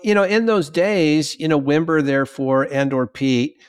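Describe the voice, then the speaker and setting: A man's voice: a little high, moderate pace, clean tone. A man hosting a podcast interview, a bishop who asks long, rambling questions full of fillers over a remote call. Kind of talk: conversational interview.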